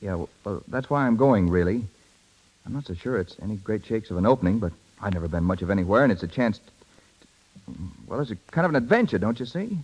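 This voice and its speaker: An actor playing an eager, bashful young suitor in a 1930s radio drama, boyish and slangy, with halting speech. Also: male voice, lowish voice, big pitch swings, darkish tone, breathy edge. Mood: hesitant, tender. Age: young adult. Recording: muffled 1930s radio broadcast with music.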